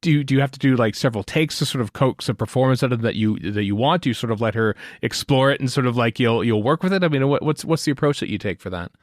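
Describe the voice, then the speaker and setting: A man's voice: mid-range voice, fast talker, few pauses, dry recording, studio quality. A man hosting a podcast interview casually, asking rambling questions with repeated false starts.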